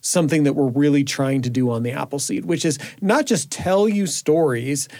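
A man's voice: fairly high pitch, normal speed, a little mellow. A man contributing to a radio show, talking in an earnest, reflective, conversational way in a studio.

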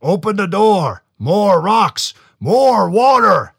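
A man voicing a huge roaring giant monster that speaks slowly and loudly. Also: sing-song, gravelly, husky, no echo, studio quality, exaggerated voice. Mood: booming. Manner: slow booming roars.

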